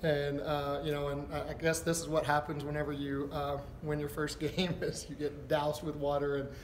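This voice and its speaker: A middle-aged man, a basketball head coach, answering postgame press questions in a halting, thinking-aloud way. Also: on the high side, monotone. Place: indoors before a backdrop, a step from camera. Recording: echoey room with background noise.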